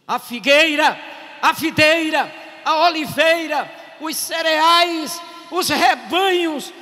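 Middle-aged bahian pastor, real high bright voice, slow shouty preaching, big reverb off the pa. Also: male voice. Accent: Bahian Portuguese. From Brazil. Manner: preaching with rising shouted climaxes, repeating phrases. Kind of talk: sermon. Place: outdoor stage at a drive-in service. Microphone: handheld mic.

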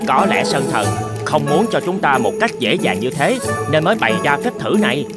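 A man's voice: fairly high pitch, very animated, fairly quick. A man voicing a shrewd, confident fox who reasons things out in a cartoon fable. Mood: smug, explaining. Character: shrewd, confident male fox, clever and composed. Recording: loud music behind the voice. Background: loud jingling music.

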